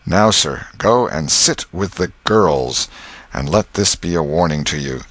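A man's voice: sits low, expressive, measured pace, a bit bright, dry recording, exaggerated voice. Give this storybook voice to a stern schoolmaster, acted by a man. He is pompous and formal, weighing each word with indignant authority.

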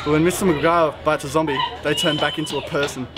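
A late-teen student giving playful, jokey answers outdoors on school grounds. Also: male voice, fairly high pitch, fast talker. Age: late teens. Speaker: student interviewee. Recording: noisy crowd outdoors.

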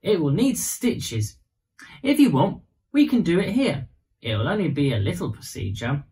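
A man's voice: on the high side, very animated, normal speed, dark tone. A man voicing a kindly, reassuring woman eye doctor in a storybook. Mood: gentle, reassuring.

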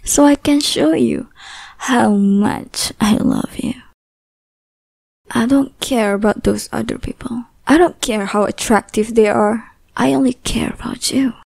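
A young woman voicing an obsessive, possessive lover in an ASMR roleplay, whispering softly with teasing menace. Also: on the high side, unhurried, no echo, clean studio sound. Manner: soft breathy whispering, teasing and threatening.

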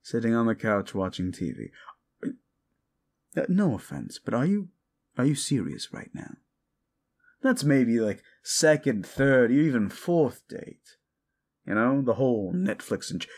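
A man's voice: medium pitch, very animated, measured pace, vocal fry, long pauses, a little mellow, exaggerated voice. A man playing a sardonic, hungry demon in an audio roleplay, talking to the listener in a condescending, theatrical, mocking way with muttered asides. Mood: mocking, amused.

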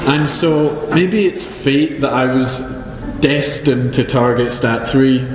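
A man's voice: medium pitch, slow pace. A Scottish man giving a chemistry talk at a conference, with dry self-deprecating humor and frequent ums. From Scotland. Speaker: chemistry professor. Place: lecture hall. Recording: roomy hall with long echo.

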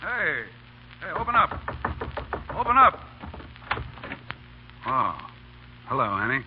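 An actor playing an impatient big-shot gambler boss in a 1930s radio drama, curt, commanding and slangy. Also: male voice, a little high, huge pitch swings, takes it slowly, heavily acted. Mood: amused, puzzled. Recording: tinny, very noisy 1930s radio recording with clicks.

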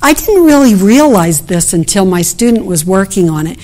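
A woman's voice: mid-range voice, sing-song, moderate pace, mellow. A middle-aged woman giving an unscripted academic keynote, thinking aloud over her slides and often restarting sentences. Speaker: keynote speaker. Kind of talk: academic conference talk.

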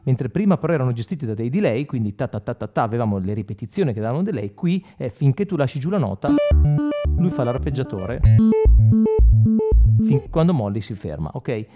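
A man's voice: medium pitch, sing-song, a little fast, lo-fi sound. A man giving a casual, spontaneous product tutorial as a guitarist, in broken, informal phrases. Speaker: guitarist demonstrating an effects pedal. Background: electric guitar with effects.

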